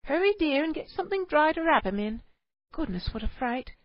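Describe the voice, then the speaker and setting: A woman voicing a fussy, scolding cave housewife who talks in shrill exclamations. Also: sing-song, moderate pace, no echo, highs cut off. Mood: frantic.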